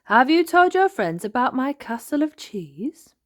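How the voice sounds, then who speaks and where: Proud old grandpa rabbit for a storybook, voiced by a woman: hearty, slow, big lively intonation. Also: sits high, pitched above the performer's own voice.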